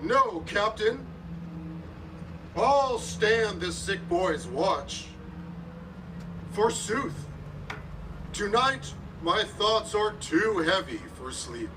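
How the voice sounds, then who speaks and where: Very slow, hammy Elizabethan heartthrob. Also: male voice, high voice, big pitch swings, background noise, exaggerated voice. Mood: grave, noble. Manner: declamatory, long dramatic pauses. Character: pompous, lovelorn Elizabethan movie heartthrob, melodramatically noble. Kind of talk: hammy period-film melodrama in radio play. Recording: stage radio play, distant mic, music behind.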